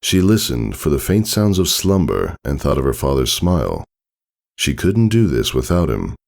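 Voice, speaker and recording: A man's voice: a bass voice, big pitch swings, moderate pace, gravelly, darkish tone. A man reading a story aloud as a scripted narration. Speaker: fan fiction reader.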